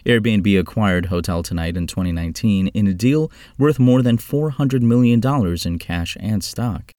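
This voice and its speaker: A man's voice: lowish voice, mellow, no room sound, clean studio sound. A man reading scripted travel-style news briefs as a podcast host.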